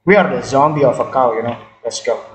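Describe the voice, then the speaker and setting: A man's voice: medium pitch, fast talker. Rambling gamer guy in an echoey room. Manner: rambling, filler-heavy, repeats words. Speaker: gaming channel host. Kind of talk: casual gameplay chat.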